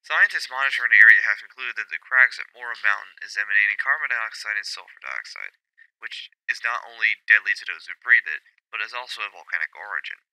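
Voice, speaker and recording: Man playing a bright, crisp male news anchor. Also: on the low side, lively intonation, fast talker, dry recording, heavily acted, rough recording. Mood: grave. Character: male news anchor gravely reporting volcanic threat. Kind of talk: scripted newscast acting.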